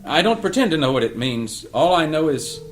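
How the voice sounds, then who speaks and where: A man playing a sincere, dreamy painter in an audio drama read-through, speaking in a measured, earnest way. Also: a little high, sing-song, faint music behind. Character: sincere, dreamy male painter recounting a mysterious vision.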